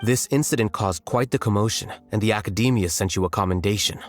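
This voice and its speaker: A man voicing a calm, analytical young scholar in a video game, speaking in a measured, matter-of-fact way. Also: fairly low pitch, soft background music, lightly acted. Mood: composed, matter-of-fact.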